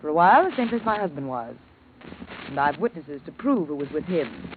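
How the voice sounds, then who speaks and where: An actress playing a haughty, sharp-tongued widow with a short temper in a 1940s radio drama. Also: female voice, deep voice, very animated, brisk. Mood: haughty, cool. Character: haughty, short-tempered glamorous young-sounding widow, female. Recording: noisy tinny 1940s radio recording.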